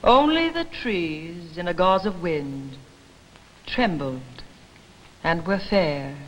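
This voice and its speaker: A woman giving a staged poetry reading in deliberate, theatrical phrasing, recorded for a 1960s broadcast. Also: fairly low pitch, expressive, slight background noise. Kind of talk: dramatic poetry recitation. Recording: reverberant hall, 1960s broadcast.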